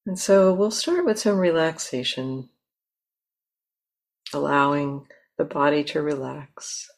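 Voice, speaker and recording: A woman guides a meditation in a low voice. Her delivery is very slow and gentle, with long pauses between short instructions. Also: lively intonation, creaky. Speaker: meditation teacher.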